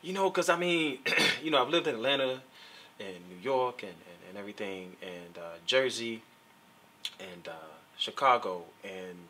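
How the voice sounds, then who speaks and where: A man's voice: lowish voice, lively intonation, moderate pace, a little hiss or hum. A man hosting a casual talk show, teasing his guest and asking frank questions. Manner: playful, teasing, jumps to loud impressions of friends.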